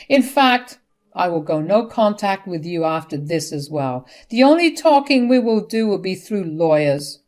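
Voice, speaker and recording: A woman voicing an angry, principled older husband who speaks sternly and forcefully in a dramatic story. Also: fairly low pitch, natural voice. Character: angry, morally firm older husband divorcing his wife.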